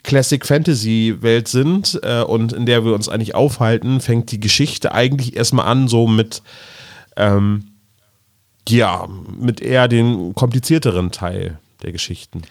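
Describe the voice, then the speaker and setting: A German man co-hosting a podcast in casual fan chat, halting now and then as he searches for words. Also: mid-range voice, no room sound, studio quality. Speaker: podcast co-host reviewing audio dramas.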